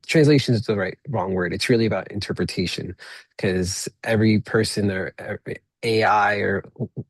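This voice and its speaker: Male podcast host from Peru, Spanish first language, lowish voice, dry sound, reflective and halting. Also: no room sound. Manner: reflective, paraphrases back, frequent restarts. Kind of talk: conversational interview.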